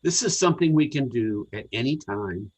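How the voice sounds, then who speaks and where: Husky guy reading a sermon, earnest and measured, voice rises and falls a lot. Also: mid-range voice, animated, poor audio. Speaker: congregational service speaker. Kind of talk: sermon read from a script.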